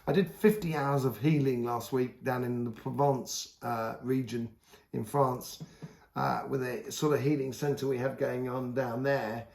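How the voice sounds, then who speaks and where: A hoarse man giving a casual, rambling tarot reading, full of fillers and restarts. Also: mid-range voice, fairly even intonation, a little slow, darkish tone, reverberant. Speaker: tarot reader.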